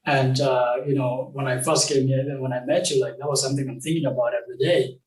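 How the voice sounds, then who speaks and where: A young man from South Sudan, a game company founder, talking as an interview guest in a halting way, with many fillers and restarts. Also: mid-range voice, steady tone, darkish tone, echoey room, recorded from a distance, poor audio. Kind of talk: casual interview conversation.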